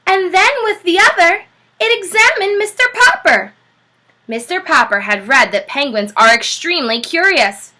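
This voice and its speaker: A woman teacher reading a children's chapter book aloud with animated, expressive storytelling. Her voice is high and bright, and it rises and falls a great deal. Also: low-quality recording.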